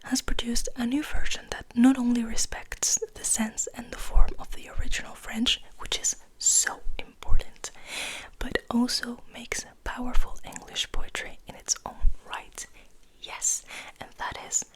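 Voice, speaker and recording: A woman speaking in a breathy, intimate whisper, soothing the listener as she reads and rambles in an ASMR style. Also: fairly high pitch, little pitch movement, measured pace, low-quality recording. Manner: intimate, soothing, repeats words softly. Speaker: ASMR host reading poetry aloud.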